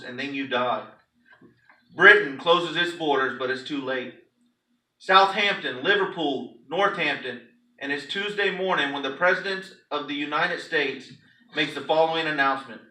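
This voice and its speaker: A man's voice: on the high side, pushed and bright. A man, a pastor, giving a theatrical, emphatic dramatic reading of a story to a congregation. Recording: echoey room, distant microphone.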